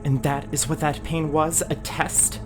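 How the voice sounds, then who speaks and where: A man's voice: on the high side, level tone, a little slow, over music, voice pushed up, lightly acted. A man voicing a resolute, defiant woman vizier in a fantasy audio drama, earnest and urgent. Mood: questioning, concerned.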